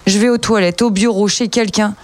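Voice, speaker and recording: A woman on morning radio telling a comic story as a columnist, animated, with mock-outraged asides. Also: medium pitch, brisk, dry recording.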